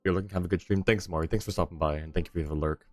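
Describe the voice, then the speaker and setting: A man's voice: sits low, fairly even intonation, racing, faint music behind. A man hosting a livestream, chatting casually and informally with his viewers in a friendly, welcoming manner. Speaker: livestream host. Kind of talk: casual gaming stream chat.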